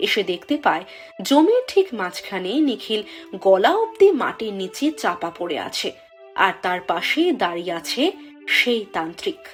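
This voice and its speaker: A woman narrating a fairy tale with an expressive, storytelling delivery. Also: medium pitch, moderate pace, soft background music.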